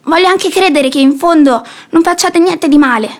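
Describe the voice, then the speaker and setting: A woman's voice: high-pitched, moderate pace, lightly acted. An actress playing an imperious, sanctimonious old mother-in-law in a theatrical radio play, haughty and preachy. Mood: stern, disapproving.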